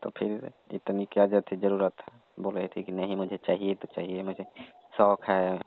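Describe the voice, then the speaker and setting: Actor as teasing, easygoing young male lover, deep creaky voice, prodding questions, tinny telephone sound. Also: monotone, normal speed. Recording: narrow tinny phone line, faint noise.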